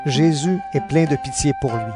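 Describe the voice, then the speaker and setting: A male narrator reads a scripted audio Bible drama. His voice sits a little high for a man, and music plays clearly behind the narration. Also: normal speed.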